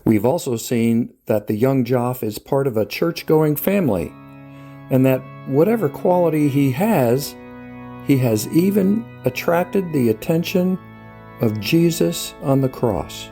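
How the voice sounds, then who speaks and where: A man hosting and reading scripted narration to introduce a dramatic scene, in a measured storyteller's manner. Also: medium pitch, lively intonation, dark tone, soft background music. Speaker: host, writer-director.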